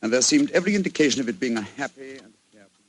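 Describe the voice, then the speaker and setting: A man's voice: medium pitch, lively intonation, rapid-fire, close to an everyday voice. A man playing a wry, composed detective reading aloud in an old radio drama. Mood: wry, amused. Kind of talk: 1940s radio acting. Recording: noisy old radio recording.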